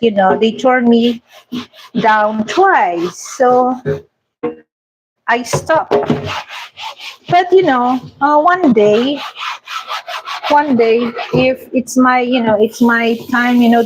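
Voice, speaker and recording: A Filipino woman chatting casually with viewers in halting English, full of fillers and restarts. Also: a little high, slight background noise, rough recording. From Philippines. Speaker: vlogger. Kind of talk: casual live chat with viewers. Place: home kitchen.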